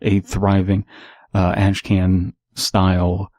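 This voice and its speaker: A man's voice: deep voice, monotone, slow pace, a little mellow, dry recording, clean studio sound. A man reading stories aloud and giving casual, analytical commentary as the host.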